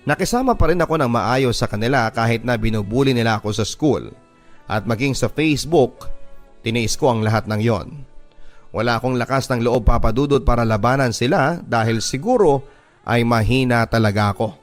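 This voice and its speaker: A man's voice: medium pitch, a little fast. A man with a Filipino accent narrating a first-person story as a scripted radio drama. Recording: music behind the voice. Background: background music.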